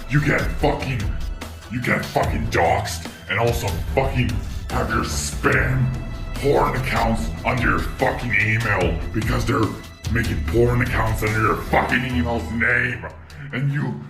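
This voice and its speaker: A man's voice: medium pitch, vocal fry, echoey room. A man playing a blunt, foul-mouthed, scolding friend in a parody cartoon dub.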